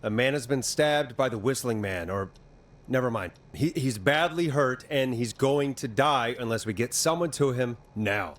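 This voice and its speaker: An actor playing a steady, reassuring but urgent radio host in a horror game drama. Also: male voice, mid-range voice, moderate pace, close to an everyday voice. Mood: urgent, earnest. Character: calm, earnest male 1980s radio DJ in crisis.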